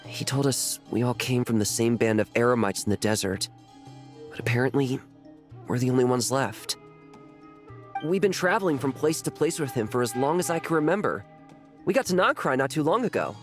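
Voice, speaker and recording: A man's voice: medium pitch, animated, normal speed, faint music behind, lightly acted. A man playing an earnest, anxious youth in a video game. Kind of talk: video game character acting.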